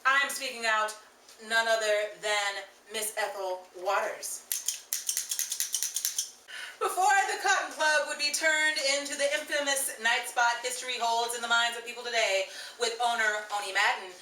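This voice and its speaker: A woman's voice: on the high side, moderate pace. A woman hosting and narrating an old-time radio style show in a theatrical, patter-filled 1930s manner. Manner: bright, theatrical, rhyming patter. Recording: echoey room from a distant mic, crowd and noise behind.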